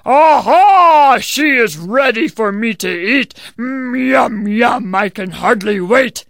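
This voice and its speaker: A woman voicing a hungry, evil male giant who talks loudly and slowly, gloating over his meal. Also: a little high, animated, creaky, no room sound, clean studio sound, voice pushed up, full character voice. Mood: greedy, threatening. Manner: loud, slow, gloating growl.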